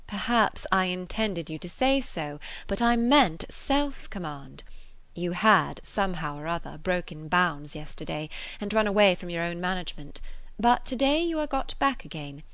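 A woman's voice: lowish voice, fairly bright tone, no echo. A woman reader acts a clever young lady from a classic novel. She is self-assured and playful, teasing with arch, ceremonious politeness. The sound is close and dry.

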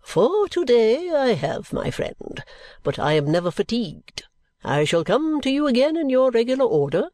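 A narrator doing a high, domineering charity lady. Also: sing-song, moderate pace, a little mellow, dry recording, studio quality, close to an everyday voice. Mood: forced cheer. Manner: forcible, demonstratively cheerful. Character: brisk, tirelessly cheerful, domineering charity lady.

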